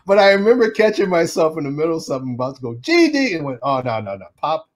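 A man's voice: on the high side, very animated, fast talker. A middle-aged man from Detroit, an animation artist, telling stories in a casual interview, acting out quotes and laughing often.

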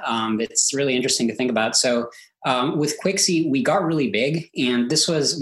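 An American man in his thirties from Silicon Valley, chatting casually as an interview guest, peppering his talk with filler words. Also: mid-range voice. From Silicon Valley, United States, born in Israel. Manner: talkative, peppers speech with "like" and "you know". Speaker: interview guest, tech entrepreneur. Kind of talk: casual chat.

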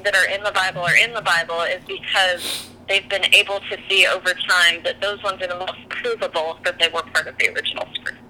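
Bright-voiced chatty woman. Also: on the low side, fairly even intonation, brisk, a little hiss or hum, low-quality recording. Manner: chatty and candid, laughs easily. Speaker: guest, author and singer. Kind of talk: podcast interview conversation.

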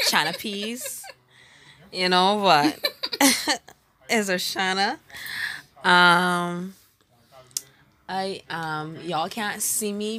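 A woman's voice: on the low side, sharp tone. A woman with a Barbadian accent, chatting hesitantly with giggles and fillers about her faith as co-host. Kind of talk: casual faith chat.

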